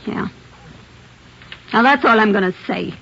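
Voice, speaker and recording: An actress playing a defiant, hot-tempered wife under suspicion in a 1940s radio drama, speaking in blunt, snappish slang. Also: female voice, medium pitch, a little slow, vocal fry, a bit bright. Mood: weary, irritated. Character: defiant, hot-tempered married woman, a murder suspect. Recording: dull lo-fi 1940s radio recording.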